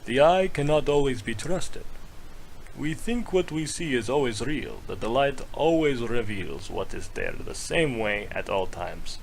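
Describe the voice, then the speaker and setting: A man voicing a serene, wise otherworldly elder, speaking in a measured, solemn, mystical manner. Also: medium pitch, animated, gravelly, a little hiss or hum, voice pushed up, rough recording. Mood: calm, solemn.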